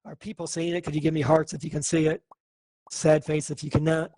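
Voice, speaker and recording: A man teaching a live online coding class, thinking aloud and explaining in a relaxed way. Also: fairly high pitch, a little fast, rough recording.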